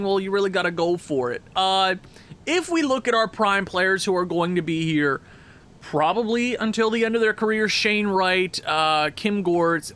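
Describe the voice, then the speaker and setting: A man with a stuffed-up nose talks through a hockey video game in a casual, excitable way, full of quick asides. His voice sits high and has a bright, forceful edge. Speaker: gaming commentator.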